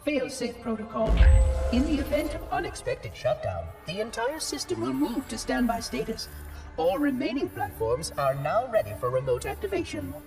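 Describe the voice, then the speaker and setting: A man's voice: high-pitched, wildly swooping pitch, moderate pace, far from the mic, over music, heavily acted. A man voicing an ancient, pedantic robotic AI that explains things in a precise, matter-of-fact way. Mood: explaining.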